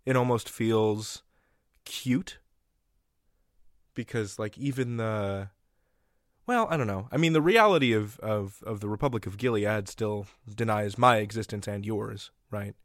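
A man hosting a casual conversational interview, speaking in halting, thoughtful phrases with tentative turns. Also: lowish voice, no room sound, clean studio sound. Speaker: interviewer, podcast host.

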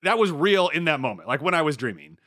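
Lively young man, bright dry voice. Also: fairly high pitch, fast, dry recording. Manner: animated storytelling, lots of "like". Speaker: podcast co-host. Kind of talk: casual chat.

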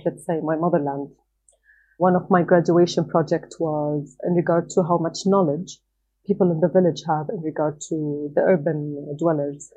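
Mellow, husky woman, thoughtful pace. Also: lowish voice, highs cut off. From Qatar. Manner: thoughtful, frequent "let's say" fillers. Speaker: guest, community organizer. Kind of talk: conversational interview answers.

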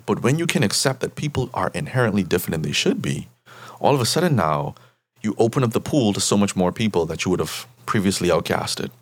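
A man from the Bahamas, a therapist guest, explaining at length in a measured way and often checking in with "right?". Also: fairly low pitch, sing-song, husky, no echo. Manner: measured, tags points with "right?".

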